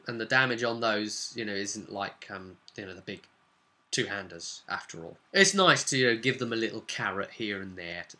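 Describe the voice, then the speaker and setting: A man's voice: fairly low pitch, sharp tone. A man telling a casual recap as a game master, stressing key words and trailing off into conversational asides. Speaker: game master recapping his tabletop role-playing campaign. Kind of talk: casual recap storytelling to camera.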